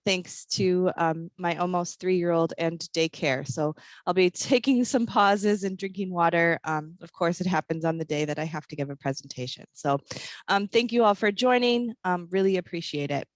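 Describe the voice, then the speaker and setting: A woman's voice: fairly low pitch, normal speed, no room sound. A woman in her thirties with a froggy, scratchy voice from a cold, presenting a webinar over a video call with plenty of ums. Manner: friendly, many ums. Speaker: airport district office manager presenting a webinar. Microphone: computer mic on a video call.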